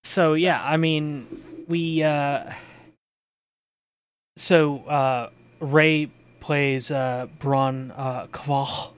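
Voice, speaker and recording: Halting, informal man hosting a casual chat. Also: on the high side, fairly even intonation, clear, resonant tone. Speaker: host and game storyteller. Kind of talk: casual chat about a tabletop role-playing campaign.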